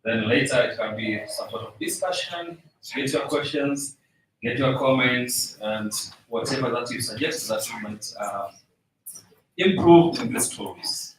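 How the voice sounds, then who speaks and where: Male co-presenter, Tanzanian accent, mid-pitched, recorded at a distance with heavy echo. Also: expressive, measured pace, slight background noise. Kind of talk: informal presentation introduction. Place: conference room. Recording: very echoey room, distant mic.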